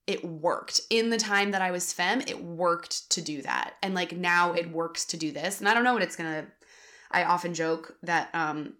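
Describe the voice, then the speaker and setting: A young adult woman co-hosting a casual podcast chat, talking candidly with lots of fillers. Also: on the low side. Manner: candid, animated, many fillers. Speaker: podcast co-host.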